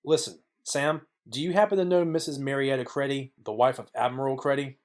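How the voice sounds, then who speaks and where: A man's voice: mid-range voice, fairly even intonation, a little fast, close to an everyday voice. Man acting a hard-boiled noir detective. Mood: businesslike probing. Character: hard-boiled male noir private detective, wry and businesslike. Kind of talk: noir audio play narration and dialogue.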